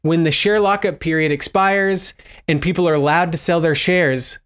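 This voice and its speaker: A man giving casual, speculative commentary as a video host, thinking out loud and putting questions to his viewers. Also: on the high side, fairly even intonation, normal speed, smooth. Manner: musing, thinks aloud, poses questions to viewers. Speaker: host of a stock investing video channel.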